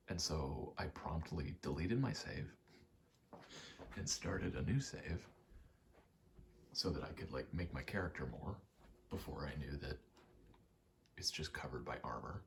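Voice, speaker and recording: A man rambling casually in a soft, half-whispered ASMR style, telling stories in slangy, meandering, sweary speech. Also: low-pitched, gravelly, slight background noise. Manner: soft-spoken, often dropping into whisper. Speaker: ASMR video host.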